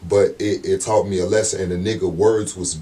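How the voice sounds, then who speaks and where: A man with a deep voice, a rapper being interviewed, talking in a laid-back, slangy way and often asking "you know what I'm saying". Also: steady tone, fairly quick, mellow, distant mic. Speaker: rapper guest. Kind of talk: casual interview talk.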